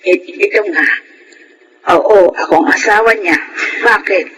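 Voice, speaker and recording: An actress playing a meek, sickly wife in a radio drama, subdued and hesitant. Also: female voice, medium pitch, unhurried, noisy background, lightly acted. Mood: weary, apologetic. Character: meek, apologetic, sickly wife at her door. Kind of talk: Tagalog radio drama acting.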